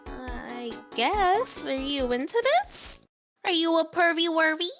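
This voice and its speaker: A woman's voice: extremely high pitch, animated, slow, music in the background, heavily acted. A woman voicing a cheeky, childlike teenage girl sidekick with a playful, cutesy manner in a fan dub of a game. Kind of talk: live fan dub of a visual novel game.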